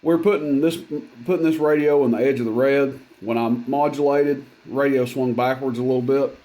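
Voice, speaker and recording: A man's voice: medium pitch, steady tone, moderate pace, warm tone, rough recording. A man giving a casual hands-on equipment demonstration in a plainspoken, folksy, matter-of-fact way. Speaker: CB radio seller demonstrating equipment.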